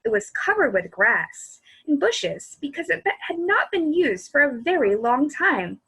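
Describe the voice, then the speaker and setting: Very high-pitched, animated woman reading to children. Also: unhurried, creaky, rough recording. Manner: expressive, addresses young listeners with questions. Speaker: children's librarian reading aloud. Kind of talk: animated storybook read-aloud for children.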